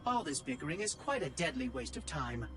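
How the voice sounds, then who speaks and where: A high, very animated artificial mind from a video game. It is fussy and verbose, with prim, condescending diction and a sly edge. Music plays clearly behind it. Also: male voice, fairly quick. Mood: brisk, impatient. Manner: precise, prim, patronising phrasing. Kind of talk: video game cutscene acting.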